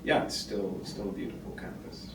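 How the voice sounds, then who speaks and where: Deep-voiced man in his fifties. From Canada. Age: 50s. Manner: thoughtful, hesitant with pauses. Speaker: guest, co-founder. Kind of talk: casual interview. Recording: roomy, distant mic, background noise.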